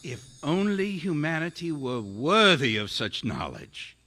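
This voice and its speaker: A man's voice: a little high, measured pace, exaggerated voice. A man acting as a fervent, condescending mad scientist in a radio drama, theatrical and grandiose. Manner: grandiose, theatrical, stammers when excited. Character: megalomaniac invisible male scientist, fervent and condescending.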